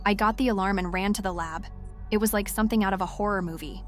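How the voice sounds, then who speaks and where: An actress playing a shaken, guilt-ridden scientist who speaks haltingly in an audio drama. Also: female voice, mid-range voice, steady tone, faint music behind, close to an everyday voice. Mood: distraught, guilty. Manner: distraught, halting.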